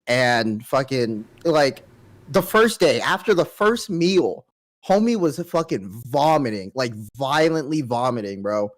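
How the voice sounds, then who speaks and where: A man's voice: mid-range voice, animated, unhurried. Young male host, nasal voice, casual rambling storyteller. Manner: laid-back storytelling, heavy filler and swearing. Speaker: podcast host. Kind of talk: casual chat.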